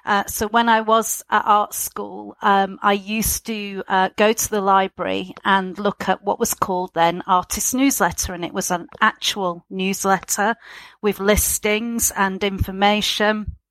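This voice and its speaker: A woman's voice: mid-range voice, fairly even intonation, measured pace, fairly bright tone. A middle-aged woman answers an interview question about her career in the arts. She speaks reflectively, with plenty of ums and uhs as she gathers her thoughts. The recording is dry and close, with no room echo. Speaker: arts organisation chief executive.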